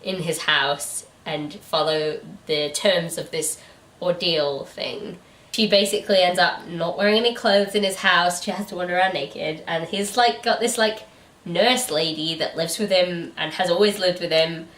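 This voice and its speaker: A woman's voice: fairly low pitch, moderate pace, fairly bright tone. A British woman chatting casually and informally as a book reviewer and host. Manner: giggly, informal. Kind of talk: casual chat. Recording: echoey room, distant mic.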